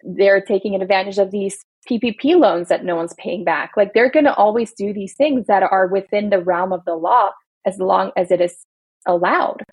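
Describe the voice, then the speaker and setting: A woman's voice: medium pitch, normal speed, a little mellow, husky, no echo. A woman from Belgium, an attorney, explaining workplace rights in an earnest, casual podcast chat. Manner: earnest, explanatory, speaks in long building lists. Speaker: employment attorney guest.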